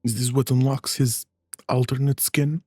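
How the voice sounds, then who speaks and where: Casting: female streamer, very deep husky register, dry close sound, understated casual play-along remarks. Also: level tone, moderate pace, darkish tone, no room sound. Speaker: streamer playing a game. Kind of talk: casual gameplay commentary.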